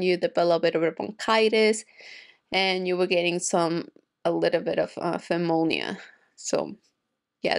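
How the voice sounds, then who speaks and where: A young woman talks casually to her viewers, rambling and restarting her sentences. She is still getting over an illness and has a slight cough. She is recorded at home on a desk microphone. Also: lowish voice. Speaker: anime reaction youtuber. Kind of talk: casual chat to camera. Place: home room with shelves of figures and manga. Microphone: desk mic on boom arm in front.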